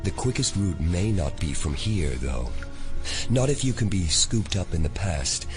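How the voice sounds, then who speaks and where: A coldly probing telepath in an audio drama. The voice is low and measured, with a condescending, cryptic menace, set over clear background music. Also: male voice, sing-song. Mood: quietly goading. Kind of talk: immersive audio drama acting.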